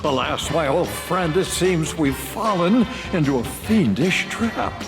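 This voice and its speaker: An actor playing an earnest, pompous superhero in a campy sixties style, declaiming ornate lines for a video game. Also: male voice, a little high, sing-song, slow, over music. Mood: grave. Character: earnest, pompous adult male campy superhero crime fighter. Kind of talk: campy 1960s superhero acting.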